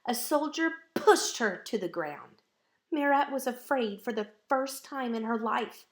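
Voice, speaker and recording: A woman reading a children's picture book aloud as a storyteller, with measured, deliberate and dramatic phrasing. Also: a little high, lively intonation.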